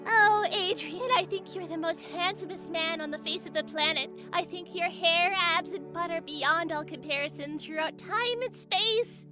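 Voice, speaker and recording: Audio drama teen pal played by a woman: high, earnest, playful, over noticeable music. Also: moderate pace, hardly any pauses. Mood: teasing. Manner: teasing, earnest. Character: playful, encouraging teenage girl, teasing schoolfriend. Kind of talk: fan audio drama acting.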